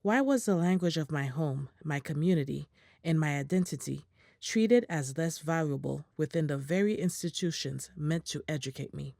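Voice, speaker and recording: A woman reading a prepared statement aloud in English in a measured, formal way, as an interpreter on a video call. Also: low voice, animated, gravelly, dark tone, no room sound, studio quality.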